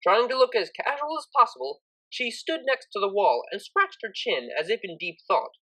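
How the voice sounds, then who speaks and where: Female story narrator, scripted third-person reading, highly varied pitch, expressive delivery. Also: moderate pace, rough recording.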